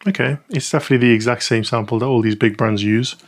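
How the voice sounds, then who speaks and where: A man's voice: mid-range voice, fast talker. A man reviewing perfume samples in a casual, chatty way, thinking aloud with lots of ums and small restarts. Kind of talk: casual first-impressions review.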